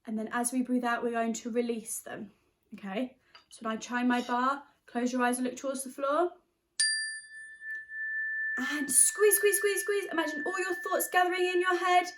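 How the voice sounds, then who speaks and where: A woman with an expressive, chatty and encouraging way of talking, leading and reading aloud for young children as their teacher. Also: high-pitched, normal speed, slight background noise. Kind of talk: animated storybook read-aloud and guided exercise.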